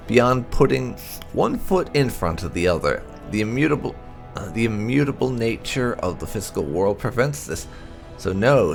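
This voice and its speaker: Expressive low-voiced man with gravelly creak. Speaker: host of a game playthrough channel. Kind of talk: read-aloud narration of game lore.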